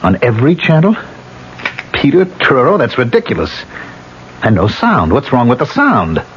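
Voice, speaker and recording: A man's voice: mid-range voice, big pitch swings, normal speed, close to an everyday voice. An actor playing a rattled, disbelieving, haunted man in a 1970s radio drama. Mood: incredulous. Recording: dull, faintly noisy vintage radio recording.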